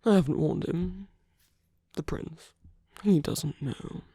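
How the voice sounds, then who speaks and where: Casting: suave, teasing male villain, played by a man for ASMR roleplay; dark, creaky, breathy murmur, intimate and unhurried. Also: fairly high pitch, no room sound, clean studio sound, full character voice. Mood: scornful, persuasive. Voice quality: soft, breathy. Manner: slow, teasing, murmuring, often dropping into whispers.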